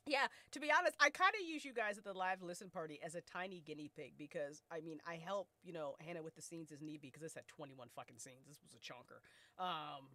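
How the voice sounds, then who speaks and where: An American woman hosting a podcast listen event, chatting casually in an animated, effusive, sweary way. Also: low voice, quick pace, no echo. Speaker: podcast producer and host. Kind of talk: casual group chat at a fan listen event.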